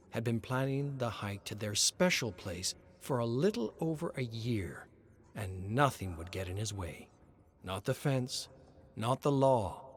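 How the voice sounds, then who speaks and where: A man reading scripted horror story narration. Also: medium pitch.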